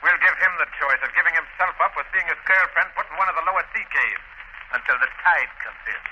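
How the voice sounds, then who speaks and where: An actor playing a ruthless, scornful, scheming criminal boss in a 1940s radio drama, sneering and domineering. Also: male voice, a little high, fast, pushed and bright, breathy edge, full character voice. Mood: gloating. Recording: noisy, dull old radio recording.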